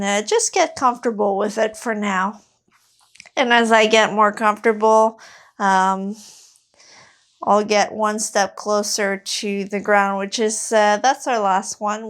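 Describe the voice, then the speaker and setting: Woman coach, Quebec French accent, unhurried, slightly high voice, halting chatty cues. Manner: relaxed, halting, many fillers. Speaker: fitness coach. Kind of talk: casual workout instruction.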